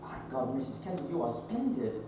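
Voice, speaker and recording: Effusive period doctor, female stage actor, highly animated, exclamatory delivery, boomy far-off theatre recording with noise. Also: a little fast, heavy reverb. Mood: admiring, eager. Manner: excitable, exclamatory. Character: effusive, eager Victorian gentleman doctor. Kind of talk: live stage drama acting.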